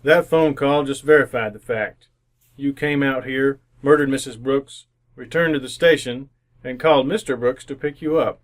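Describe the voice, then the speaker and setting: A man playing a shrewd, methodical police inspector in an old-time radio mystery, with a measured, formal way of questioning. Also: medium pitch, natural voice. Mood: coolly accusing. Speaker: amateur radio actor.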